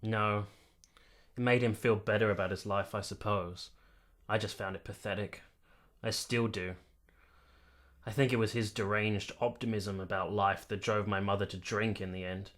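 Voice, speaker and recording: Actor as a low-voiced, sober period soldier. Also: male voice, level tone, moderate pace, fairly bright tone, natural voice. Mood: reflective, bitter. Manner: reflective, formal, literate. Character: sober, disillusioned 1870s military man looking back. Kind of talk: period radio drama acting and narration.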